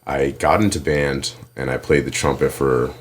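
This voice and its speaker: Deep voiced young guy from connecticut, laid back, telling stories in an interview. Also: moderate pace, a little hiss or hum. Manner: relaxed, rambling storytelling. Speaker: guest. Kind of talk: casual interview talk.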